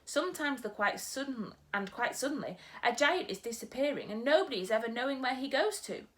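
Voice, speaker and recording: A woman reading aloud, voicing a huge kindly old male giant who mangles his grammar and booms his exclamations. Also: lively intonation, fairly quick, natural voice. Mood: earnest.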